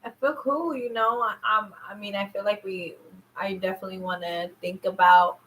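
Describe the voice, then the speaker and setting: A woman's voice: mid-range voice, fairly even intonation, normal speed, a bit bright, slight background noise, rough recording. A woman co-hosting a podcast in casual, playful, informal chat. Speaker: podcast co-host.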